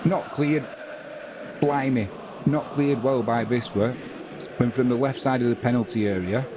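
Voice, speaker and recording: A man from England giving relaxed play-by-play of a football match and chatting with viewers on a live stream. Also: mid-range voice, a little slow, muffled recording, noisy background. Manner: conversational play-by-play, reading out viewer comments. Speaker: watch-along commentator.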